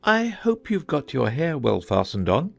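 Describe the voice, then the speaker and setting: A man playing an eccentric, earnest, bumbling old knight in a dramatized audiobook, with a halting, fussy delivery. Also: a little high, wildly swooping pitch, gravelly, faint music behind, exaggerated voice. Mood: earnest, proud. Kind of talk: dramatized audiobook character acting.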